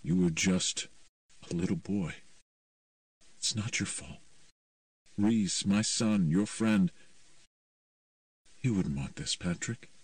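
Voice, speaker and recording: A man voicing a grieving mother who speaks firmly and gently as she forgives. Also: deep voice, lively intonation, takes it slowly, pauses often, no echo, distant mic, exaggerated voice. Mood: earnest.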